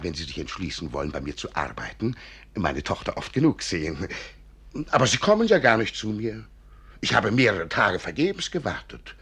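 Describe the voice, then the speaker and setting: Raspy, swooping old archivist. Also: male voice, deep voice, fairly bright tone, heavily acted. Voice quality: raspy, rough-edged. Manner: chuckling, exclamatory, theatrically deliberate. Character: eccentric older wizardly archivist, blustering and slyly amused. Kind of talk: German classic radio play acting.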